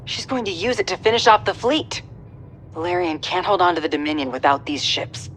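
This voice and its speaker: A woman's voice: fairly low pitch, brisk, a bit bright, slight background noise, close to an everyday voice. A woman playing a tough, determined special-ops soldier in a video game, dry and clipped. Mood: urgent, determined. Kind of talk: video game cutscene acting.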